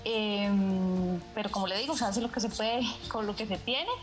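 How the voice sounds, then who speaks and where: A Costa Rican woman, a mountain runner, speaking from home over a video call in a measured way, often adding "¿verdad?". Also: mid-range voice. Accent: Costa Rican Spanish. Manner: measured, fills pauses with "¿verdad?". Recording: echoey video call audio with music.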